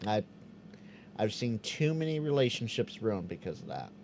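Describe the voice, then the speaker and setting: A man hosting a live stream, chatting loosely with his viewers and sounding out Morse code as he goes. His pitch jumps up and down, and he restarts his words often. A faint hum of room ambience sits behind him. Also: big pitch swings, normal speed, a little mellow. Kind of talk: casual live-stream chat reading viewer comments.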